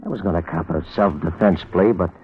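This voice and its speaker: An actor playing a bitter, fatalistic small-time crook with a tough, slangy, sardonic delivery in 1950s crime radio style. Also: male voice, deep voice, a little fast, slightly rough. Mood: bitter, rueful. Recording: dull vintage radio recording.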